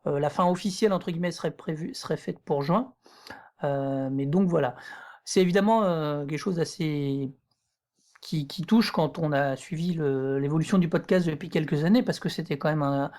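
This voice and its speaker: A man's voice: fairly high pitch, moderate pace, a little mellow. A man co-hosting a podcast, presenting news in a casual, conversational way. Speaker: podcast co-host.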